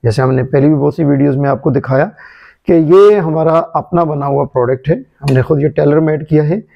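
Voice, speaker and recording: A man explaining a formulation step by step, unhurried and hesitant, in an indoor workshop. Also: fairly high pitch, gravelly, lo-fi sound. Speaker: chemistry channel presenter. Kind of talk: hands-on formulation demonstration.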